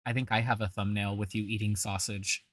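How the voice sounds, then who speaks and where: A woman's voice: extremely low pitch, little pitch movement, a little fast, dry recording. Sarcastic woman reacting to a video. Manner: sarcastic, scornful. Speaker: reaction commentator.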